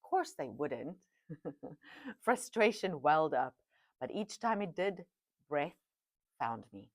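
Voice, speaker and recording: A woman's voice: sits low, big pitch swings, slow pace, no room sound, studio quality. A middle-aged woman, an Afrikaans speaker, reading her own memoir aloud at home with reflective, chatty asides. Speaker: podcast host and author. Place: home dining room.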